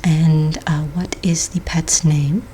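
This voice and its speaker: A very soft, breathy voice, low for a female, belongs to a polite office manager played by a woman in an ASMR roleplay. She takes her time, patiently and gently working through official questions in a near whisper. Typing and clicks sit behind her over a faint bed of noise. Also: little pitch movement, takes it slowly. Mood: patient, businesslike. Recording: close mic, faint noise, keyboard clicks. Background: computer keyboard typing and clicks.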